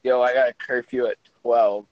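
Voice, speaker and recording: A young man on a phone call, teasing his friend with playful sarcasm in an animated, casual way. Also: mid-range voice, moderate pace. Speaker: podcast co-host. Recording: dull, lo-fi phone audio.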